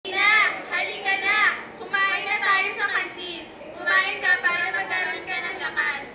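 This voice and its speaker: Casting: excitable schoolgirl, played by a woman, squeaky high, Filipino accent, puppet theatre with crowd behind. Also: normal speed, a little hiss or hum, heavily acted. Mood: urging. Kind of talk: amateur student puppet show acting. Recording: echoey room with loud crowd behind.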